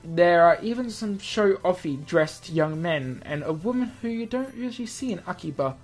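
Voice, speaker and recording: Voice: mid-range voice, animated, moderate pace. A let's play host narrates and comments on a visual novel. The delivery rambles and corrects itself along the way, with music playing behind the voice.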